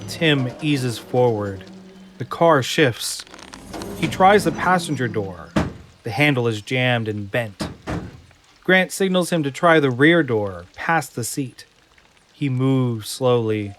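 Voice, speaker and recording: A man narrating an audio drama from a script in a deliberate, suspenseful manner. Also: mid-range voice, animated, noisy background.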